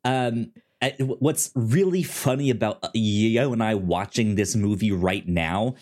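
A man with a fairly low voice co-hosts a casual film podcast. He talks haltingly, often stammering and doubling back on words as he works through his thoughts. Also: a little mellow. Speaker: podcast co-host.

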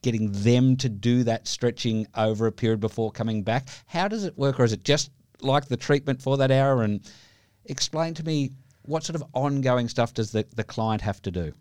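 A man's voice: mid-range voice, normal speed, darkish tone, clear, resonant tone, no echo, studio quality. A man hosting a podcast, asking questions and rephrasing his guest's points. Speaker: podcast host. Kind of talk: podcast interview.

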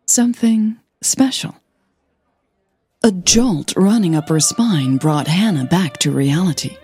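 A woman who narrates and hosts a fiction podcast, telling the story in a measured, dramatic way with deliberate pauses. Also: medium pitch, dark tone, breathy edge, no room sound, clean studio sound. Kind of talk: scripted audio fiction narration.

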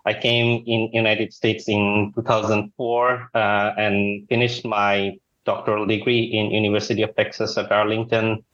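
A man's voice: fairly low pitch, level tone, normal speed, few pauses, a bit bright. A man in his forties from Bangladesh, answering interview questions in an earnest, polite way. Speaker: guest engineer. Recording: dull lo-fi video call.

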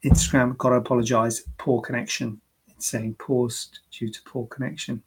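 Gravelly, husky man hosting a live Q&A. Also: mid-range voice, level tone, normal speed, low-quality recording. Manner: chatty, joking, self-deprecating asides; explains medical advice plainly. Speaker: plastic surgeon hosting a live Q&A. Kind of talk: live-streamed Q&A, casual chat.

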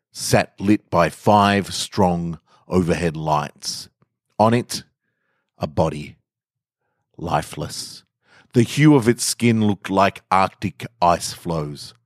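A man narrating short fiction in a dramatic, measured and deliberate way with weighty pauses. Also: sits low, no echo, studio quality. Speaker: storyteller reading short fiction on a podcast.

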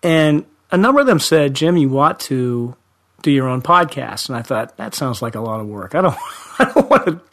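A man's voice: mid-range voice, sing-song, moderate pace, a bit bright. A man, a friendly, self-deprecating podcast guest with a young-sounding voice who laughs often. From Central Ohio, USA. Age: 50s. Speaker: high school teacher, guest. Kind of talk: podcast interview.

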